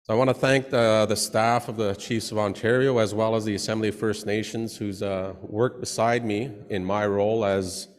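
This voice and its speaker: A man who is a chief, giving a formal presentation to an assembly in a loud voice with many pauses. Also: lowish voice, fairly even intonation. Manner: loud, pausing often. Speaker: chief and languages portfolio holder. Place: large assembly hall. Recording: long hall reverb.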